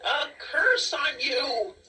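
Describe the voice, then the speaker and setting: An ungrateful, ugly old dwarf man, played by a woman narrator, scolding in a high, swooping storybook voice. He spits out cranky insults over music, with the sound set back from the mic. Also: big pitch swings, extremely slow, bright, forceful tone, exaggerated voice. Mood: furious, cursing. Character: ugly, rude, ungrateful old male dwarf, always furious. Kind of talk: children's storybook character voice. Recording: distant cassette audio with music.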